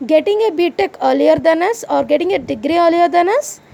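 A woman speaking in a high voice with a gravelly edge. She has an Indian English accent, and English is not her first language. She talks straight to her listeners in a personal podcast, venting indignantly and firing off rhetorical questions. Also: expressive, fairly quick, mellow. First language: Indian language other than Hindi. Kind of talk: personal monologue to the audience.

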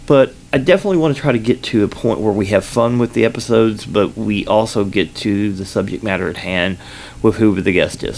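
Southern man, podcast host, low gravelly voice, rambling and filler-heavy, studio mic. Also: a little hiss or hum. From Kentucky, United States. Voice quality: loud voice at times. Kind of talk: casual solo podcast update. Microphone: sensitive studio microphone.

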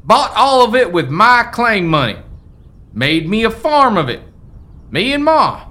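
A man acts out an ornery old American rancher's ghost for a radio play. He blusters in slow, folksy frontier talk. His bright, forceful voice swings widely as he lays claim to what is his. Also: high-pitched, very animated, heavily acted. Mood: blustering, folksy.

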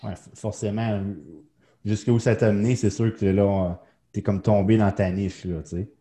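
Low-voiced host. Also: male voice, normal speed. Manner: relaxed, short agreeing replies. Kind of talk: casual interview.

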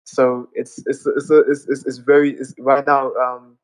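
A man's voice: mid-range voice, little pitch movement, unhurried, no echo, rough recording. A man, earnestly explaining things step by step in a casual live audio chat. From Zimbabwe. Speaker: audience speaker.

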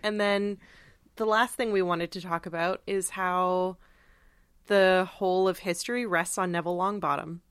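A woman's voice: on the low side, fairly even intonation, normal speed, smooth, no room sound, studio quality. A woman co-hosting a podcast, speaking casually and thoughtfully, feeling out her phrasing as she discusses. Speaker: podcast co-host. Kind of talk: casual conversational literary discussion.

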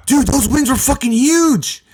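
A man's voice: very high voice, measured pace, a little mellow, husky. A man hosting a casual chat with friends, loose and profane, often laughing and calling people "dude" and "bro.". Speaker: podcast host.

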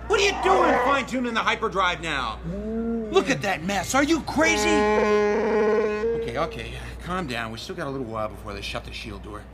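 An actor playing a cocky, sardonic, impatient smuggler pilot in a radio drama, brash and casual, with music behind him. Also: male voice, high-pitched, sing-song, normal speed. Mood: irritated, sarcastic.

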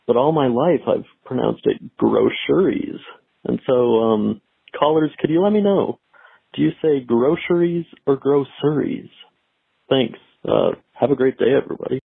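A man's voice: medium pitch, expressive, measured pace, creaky, slightly rough, no echo. A man from the United States leaves a wry, musing voicemail for callers. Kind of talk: playful voicemail message. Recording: tinny phone line.

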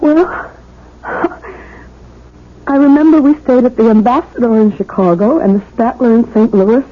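High-voiced distraught young woman played by an actress, husky. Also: natural voice. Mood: wistful, earnest. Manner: emotional, halting, earnest delivery. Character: distraught young newlywed woman doubting her own sanity. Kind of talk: old-time radio mystery acting. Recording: muffled old radio drama recording.

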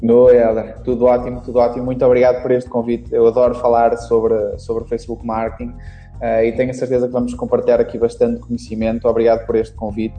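A man's voice: mid-range voice, monotone, fast talker, muffled recording, soft background music. A young adult man with a European Portuguese accent, a guest on a casual podcast interview telling his story at length. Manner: long rambling storytelling. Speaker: guest, marketing instructor.

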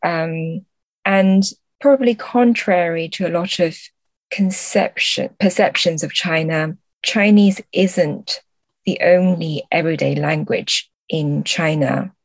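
Lowish-voiced woman, dry close sound. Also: slow, no echo. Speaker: podcast guest, literary translator. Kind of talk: casual interview conversation.

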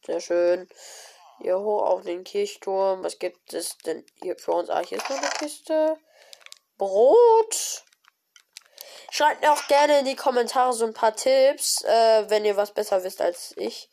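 A woman with a cold, giving casual, excited commentary on her own gameplay with many fillers and exclamations. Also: mid-range voice, takes it slowly. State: has a cold. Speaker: gaming video creator. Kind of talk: casual let's-play commentary.

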